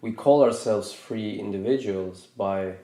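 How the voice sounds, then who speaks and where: A man from Norway in his thirties, hosting a podcast in a reflective, casual way, pausing and restarting his sentences as he thinks aloud. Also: fairly low pitch, warm tone, echoey room. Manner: thoughtful, hesitant with many restarts. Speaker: podcast host.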